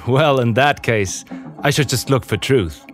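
A cheeky young student, played by a man in a cartoon audio play. He questions smugly in a mid-pitched voice, with music clearly behind him. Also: a little slow, natural voice. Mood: smug, questioning.

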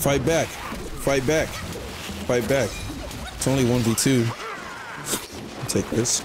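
A young man with a raspy, just-woken-up voice, giving laid-back, slangy commentary while playing a video game. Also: mid-range voice, slow, noisy background. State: just woke up. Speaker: gaming channel host. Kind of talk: casual gameplay commentary.

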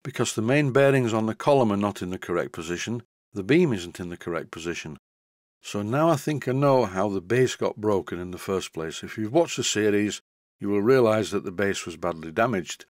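A man's voice: lowish voice, animated, brisk, no echo. An older man narrates a workshop tutorial in a scripted voice-over, with dry, self-deprecating humour. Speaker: model engineering tutor.

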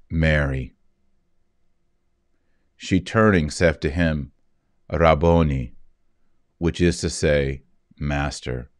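Male reader, 40s, low-pitched and unhurried, solemn scripture delivery, dry close-miked sound. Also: darkish tone, no room sound. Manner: measured, deliberate phrasing. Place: indoor room, seated at a desk.

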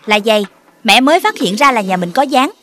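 A woman's voice: on the high side, big pitch swings, a little fast, fairly bright tone. A woman voicing a flustered cartoon animal in a children's show, exclaiming and scolding. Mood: agitated. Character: flustered, excitable cartoon animal mother or friend.

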